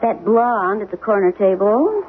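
A chattering, needling middle-aged wife. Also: female voice, mid-range voice, very animated, measured pace. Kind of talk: 1970s radio drama acting. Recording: dull lo-fi 1970s radio recording, faint music.

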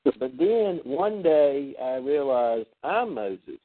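Man, host, spiritual talk, animated, phone line, dry. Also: mid-range voice, slow, dry recording. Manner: animated, acts out quoted voices. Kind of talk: spiritual discussion.

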